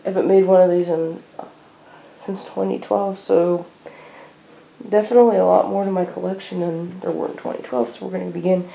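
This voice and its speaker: A woman giving a casual, unscripted show-and-tell at home in a halting way, as a hobbyist vlogger. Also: lowish voice, level tone, creaky, dark tone. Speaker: weather radio hobbyist vlogger. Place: indoor room at home. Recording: noisy homemade video with clicks.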